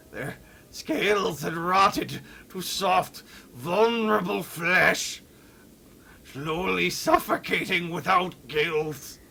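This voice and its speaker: A man's voice: sits high, vocal fry, sharp tone, slight background noise, full character voice. A man voicing a fussy, troubled adventurer who is turning into a sea creature, reading a game journal theatrically. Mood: horrified, repulsed. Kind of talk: dramatic read-along of game journal.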